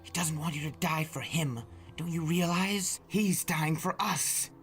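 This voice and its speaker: A man's voice: a little high, measured pace, fairly bright tone, pitched above the performer's own voice. A man acts out a frightened young friend in a storybook tale. The voice is pushed well up, urgent and hissing, scolding and pleading over music.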